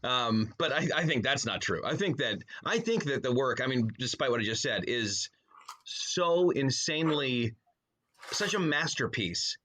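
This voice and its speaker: A middle-aged man talks as a guest in a casual interview. His voice sits at an ordinary male pitch, and his delivery is colloquial and joking, as if talking to a buddy. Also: lively intonation, measured pace, sharp tone. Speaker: podcast guest, author and pastor.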